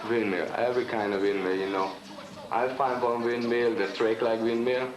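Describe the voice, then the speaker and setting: A man from Hungary, a Hungarian speaker, talking in halting broken English in a casual interview. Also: mid-range voice, level tone, a loud crowd. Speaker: b-boy crew member. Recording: echoey room with music behind.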